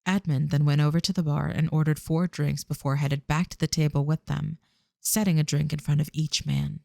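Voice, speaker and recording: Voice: medium pitch, level tone, moderate pace, creaky, dark tone, dry recording, clean studio sound. A narrator reading scripted storytelling for an audio drama.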